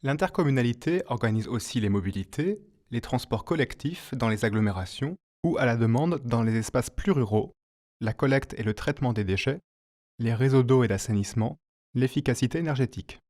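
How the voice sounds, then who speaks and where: A man reading a scripted informational narration as an explainer, in a clear, didactic manner. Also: medium pitch, expressive, a little fast, a little mellow.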